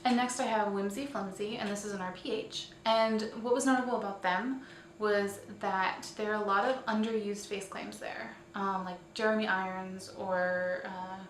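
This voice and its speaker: A woman's voice: medium pitch, fairly even intonation, moderate pace. Echoey, distant woman chatting as host. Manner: informal, self-correcting, tentative on names. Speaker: host of a weekly online roleplay community show. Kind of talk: casual chatty roundup vlog. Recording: echoey room, distant mic, faint hiss.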